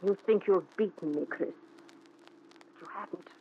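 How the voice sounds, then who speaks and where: A woman's voice: on the low side, normal speed, a little hiss or hum, lightly acted. A woman playing a jealous, possessive, menacing wife in an intense, theatrical 1940s radio thriller style. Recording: dull vintage 1940s radio recording.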